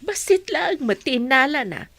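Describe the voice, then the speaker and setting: Filipino mom in a radio drama, defensive, very up n down voice, dry studio. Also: female voice, high voice, sing-song, measured pace, fairly bright tone, dry recording, heavily acted. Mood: reproving. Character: defensive, protective adoptive mother. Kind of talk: Ilocano radio drama acting.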